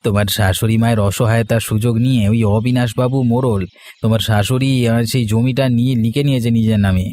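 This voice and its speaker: A man's voice: medium pitch, steady tone, fast talker, warm tone, close to an everyday voice. A man voicing a chatty, familiar village neighbour in a cartoon story. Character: chatty, gossiping village elder-brother type. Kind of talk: Bengali children's cartoon story dialogue. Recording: music playing under the voice.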